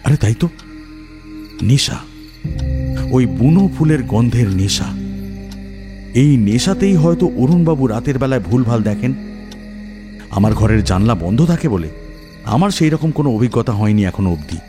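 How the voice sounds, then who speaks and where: A man's voice: mid-range voice, big pitch swings, normal speed, pauses often. A man narrating a first-person horror story in a dramatic style. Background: background music.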